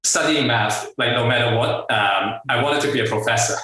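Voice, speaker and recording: A middle-aged man with a Hong Kong accent and a bright, forward voice tells his story in a halting, filler-heavy way with frequent laughs. He sits some distance from the mic, and the room gives back a strong echo. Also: mid-range voice. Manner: halting, many fillers and restarts, laughs often. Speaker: guest entrepreneur. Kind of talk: casual interview storytelling. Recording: echoey room, far from the mic.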